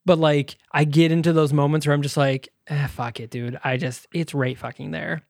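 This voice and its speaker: Higher-voiced man in casual, sweary chat. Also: fairly even intonation, moderate pace, creaky, darkish tone, dry recording, clean studio sound. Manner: casually profane, self-deprecating. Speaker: podcast co-host.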